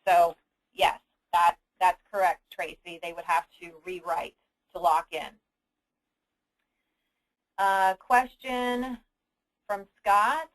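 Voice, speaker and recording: A woman hosting a conference call briefing, answering submitted questions in an explanatory, businesslike and somewhat halting manner. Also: on the low side, steady tone, poor audio. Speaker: host of an insurance agents' conference call. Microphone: telephone conference line.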